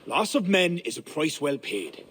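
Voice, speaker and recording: An actor playing an ambitious medieval high king in a game cutscene, speaking in a grave, commanding, regal manner. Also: sing-song, unhurried, a bit bright, husky, heavily acted. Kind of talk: video game cutscene acting.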